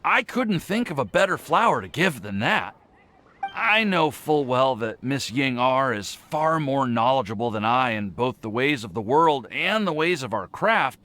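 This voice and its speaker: A man's voice: mid-range voice, big pitch swings, a little slow, sharp tone, slight background noise, heavily acted. A man playing an earnest, flustered young alchemist in a video game, hesitant but formal in his wording. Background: faint game music.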